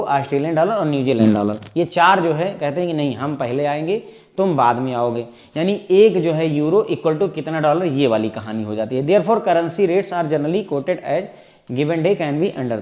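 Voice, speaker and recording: A middle-aged Indian man with a fairly high voice gives an explanatory exam prep lecture. He keeps asking the class questions and answering them himself, the way a classroom teacher does. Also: dark tone.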